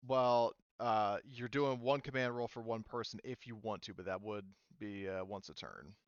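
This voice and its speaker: A man with a smooth, clear voice runs a tabletop game in a casual way. He explains things haltingly and conversationally, recorded close and dry with a slightly muffled top end. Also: lowish voice, no room sound. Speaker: game master. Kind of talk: casual tabletop game narration and rules talk.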